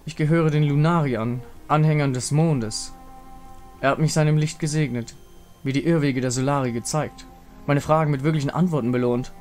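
A solemn priestly warrior woman from a fantasy game , as a man reads her. The voice sits fairly high and speaks gravely and formally, with measured weight. Quiet music plays behind. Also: fairly even intonation, lightly acted. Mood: earnest.

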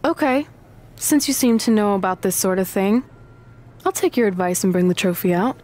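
A woman's voice: mid-range voice, lively intonation, normal speed, darkish tone, close to an everyday voice. Woman as dry, level-headed wrestler. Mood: dry, cautious. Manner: level-headed, dryly skeptical. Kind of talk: video game cutscene acting.

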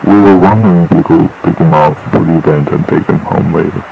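A man playing a working family man on a phone call, speaking casually and haltingly in a horror cartoon. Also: deep voice, fairly even intonation, vocal fry, over music. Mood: hesitant, friendly. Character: weary working family man asking a friend a favor. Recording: dull, noisy lo-fi phone recording.

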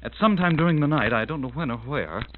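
An actor playing a dazed, anxious adventurer in an old 1940s-style radio drama, earnest and agitated. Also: male voice, medium pitch, expressive, fast talker, close to an everyday voice. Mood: dazed, groping. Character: dazed, anxious adventurer searching for a kidnapped woman. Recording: narrow, tinny, hissy old radio recording.

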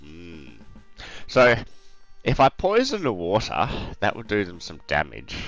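Brief: male let's-play host, croaky today, deep but lively delivery, rambly and hesitant. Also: measured pace, dull audio, soft background music. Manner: rambling, thinking aloud with many ums. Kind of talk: casual game commentary.

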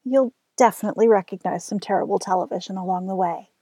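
A woman's voice: mid-range voice, fast talker, dark tone, husky, no echo. A woman hosting a radio show, reading a scripted introduction.

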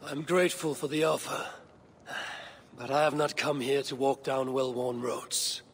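An actor playing a weary, resolute Viking warrior in a game, gravelly, with terse, formal and solemn delivery. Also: male voice, medium pitch, little pitch movement, a little slow, slight background noise, close to an everyday voice. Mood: calm, resolute. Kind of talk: video game cutscene acting.

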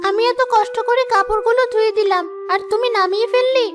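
A woman's voice: very high voice, quick pace, noisy background. A woman voicing a dutiful, long-suffering young daughter in a cartoon, earnest and pleading. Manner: pleading, earnest, self-sacrificing. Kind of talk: cartoon dub acting.

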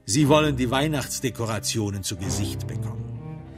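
A man's voice: medium pitch, expressive, normal speed, music in the background. A man narrating a literary crime radio play in a sardonic, theatrical storytelling manner.

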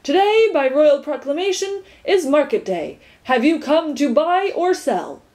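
A woman's voice: sits high, sing-song, measured pace, darkish tone, pitched above the performer's own voice. A woman playing a pompous, officious gateman. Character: pompous, impatient male gateman. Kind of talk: audiobook character voice.

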